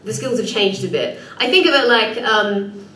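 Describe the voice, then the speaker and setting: A woman presenter at a conference, talking quickly and thinking aloud with fillers while answering questions. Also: mid-range voice, sharp tone. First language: English. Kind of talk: conference talk and Q&A. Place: conference room. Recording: echoey room, distant mic, faint noise.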